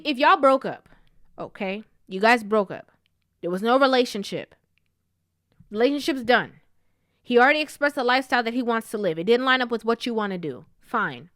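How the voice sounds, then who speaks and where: This woman's voice sits somewhat high and sounds blocked up, with a sniffly, stuffed nose. She delivers blunt, emphatic opinions in a commentary vlog and keeps tossing in "okay?". The recording is dry and close, with no room around it. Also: a bit bright, no echo. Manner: emphatic, frank, checks in with "okay?". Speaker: commentary vlogger.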